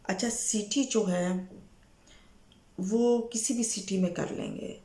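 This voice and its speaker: A Pakistani woman with a slightly hoarse, sore throat, presenting a profile informally to viewers as a matchmaker and mixing in English words. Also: mid-range voice, fairly even intonation, slow pace, warm tone, reverberant. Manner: Urdu mixed with frequent English words.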